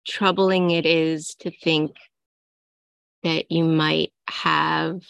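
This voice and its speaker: A woman taking part in a workshop discussion, thinking aloud slowly and haltingly. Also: deep voice, little pitch movement, gravelly, a bit bright, clear, resonant tone, dry recording. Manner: slow, halting, thoughtful. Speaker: workshop participant.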